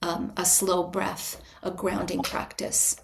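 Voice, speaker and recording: A woman guest on a podcast interview, a writer and retreat leader, explaining her approach in a calm, invitational, measured way with frequent restarts. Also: fairly low pitch, creaky, slight background noise, rough recording. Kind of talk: reflective interview answer.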